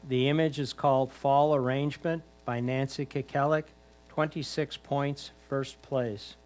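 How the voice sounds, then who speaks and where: A man's voice: mid-range voice, fairly even intonation, unhurried, a little mellow. A man reading out titles and scores as a competition announcer, in a formal announcing style.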